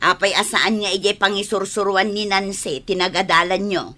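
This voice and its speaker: Woman as a sharp, bright nagging mother. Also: medium pitch, fairly even intonation, moderate pace, natural voice. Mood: nosy, scornful. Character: exasperated, nosy, scornful middle-aged village mother. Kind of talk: radio drama acting.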